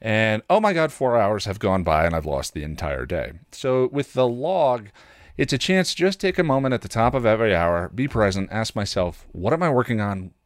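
A man's voice: fairly low pitch, big pitch swings, moderate pace, no echo, studio quality. A man hosting a casual solo podcast in a rambling, self-deprecating way, often acting out his own inner dialogue. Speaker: podcast host.

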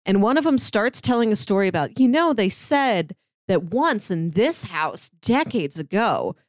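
A female podcast co-host retells stories in casual conversation. Her mid-range voice swings up and down with lively intonation, and the sound is dry, with very little room around it. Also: mid-range voice, unhurried, no room sound. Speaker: podcast co-host reviewing audio drama.

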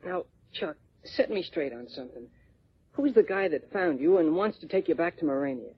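Man playing brash teen boy, husky, slangy tough talk, old-time radio acting, dull recording. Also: fairly high pitch, animated, moderate pace. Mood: urgent, determined. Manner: slangy, defiant, tough-talking. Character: brash, feisty teenage boy cub reporter. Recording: muffled 1940s radio recording.

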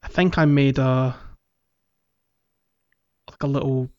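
A man hosting a gaming podcast in casual chat, with hesitant, halting phrasing. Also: mid-range voice, steady tone, mellow. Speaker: podcast host. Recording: roomy echo, slightly dull sound.

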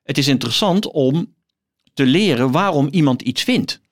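A middle-aged man, a guest professor explaining things in an interview, thoughtfully and emphatically, halting between phrases. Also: a little high, expressive. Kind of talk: explanatory interview talk with some reading aloud.

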